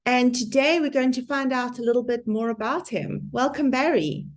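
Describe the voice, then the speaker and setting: A woman's voice: fairly high pitch, normal speed. A woman hosting an online summit interview, introducing and chatting with her guest in a conversational, appreciative way.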